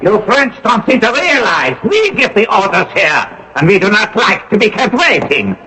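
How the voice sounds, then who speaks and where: Harsh, barking Gestapo officer in radio drama. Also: male voice, high-pitched, sing-song, normal speed, sharp tone, heavily acted. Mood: cold menace. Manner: commanding, threatening. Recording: noisy old radio drama recording.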